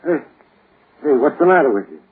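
An actor playing a brusque, uneasy, slangy barkeep in an old-time radio drama. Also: male voice, a little high, very animated, brisk, husky, heavily acted. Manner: slangy, stammering when nervous. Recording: muffled old radio recording.

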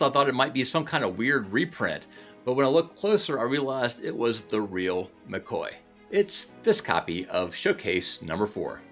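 Lively, animated man hosting a collector show. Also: mid-range voice. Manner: enthusiastic, playful asides. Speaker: comic book collecting channel host. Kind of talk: scripted video commentary. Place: indoor room with chandelier.

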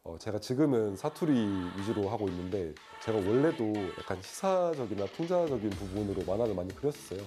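A man in his thirties from Daegu with a Gyeongsang accent, a webtoon artist talking in an interview with relaxed fillers. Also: on the low side, fast, few pauses, music in the background. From Daegu, South Korea. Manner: relaxed, earnest, frequent "uh" fillers. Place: indoor studio with shelves. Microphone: lapel.

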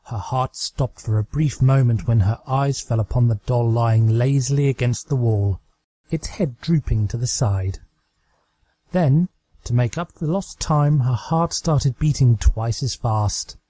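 A man's voice: medium pitch, measured pace, dark tone. A man reading scripted fan fiction aloud as an amateur narrator. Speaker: amateur story reader.

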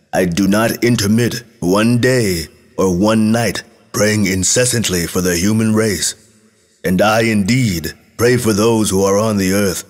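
A man reads as a mighty archangel pleading for humankind in a scripture tale. His low voice is solemn and lamenting, with formal, archaic phrasing. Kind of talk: storybook scripture reading.

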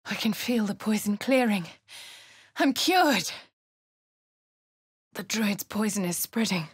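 An actress voicing a posh, haughty female adventurer with a posh English accent, speaking in a cool, measured way in game voice lines. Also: mid-range voice, lively intonation, husky, no room sound, studio quality. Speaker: player character. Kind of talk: video game voice lines and narration.

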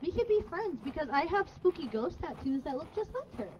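A woman's voice: very high-pitched, sing-song, fairly quick, darkish tone, muffled recording, a little hiss or hum. A woman playing a co-op game with friends, reacting playfully. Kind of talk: casual gaming chat.

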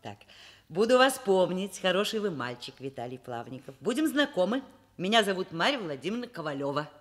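A woman's voice: fairly low pitch, sing-song, a little fast. An actress playing a frank, kindly middle-aged professor in a Soviet radio play, wry and conversational as she talks and narrates.

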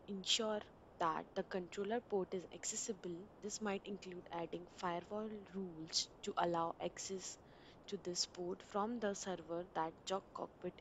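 A woman with a fairly low voice narrates a software tutorial, reading each step in a steady instructional way. She keeps a measured delivery and makes small grammar slips. A faint low rumble sits under her voice. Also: poor audio. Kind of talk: read screen-recording walkthrough. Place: voice-over for a screen recording.

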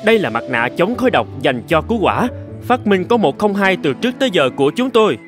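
A man's voice: high voice, sing-song, quick pace, music in the background. A man playing a showy fairground presenter in a cartoon, emphatic and salesmanlike. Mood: proud, selling. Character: showy male fairground presenter pitching to a crowd. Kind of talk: theatrical cartoon announcing.

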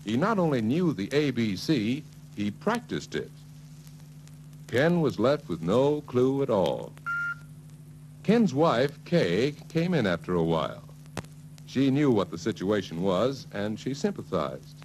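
A man's voice: mid-range voice, very animated, slow pace, a little mellow. A man narrating a 1940s sales training film in a scripted storytelling style. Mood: brisk storytelling. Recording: noisy, humming 1940s film soundtrack.

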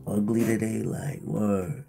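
A man of about twenty-one from Pennsylvania, teasing strangers in laid-back deadpan slang on a video chat, recorded in his bedroom on a desk mic. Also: lowish voice, takes it slowly, gravelly, a loud crowd. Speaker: streamer chatting with strangers. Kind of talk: casual video chat banter. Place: bedroom with gaming chair. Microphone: headset and desk mic.

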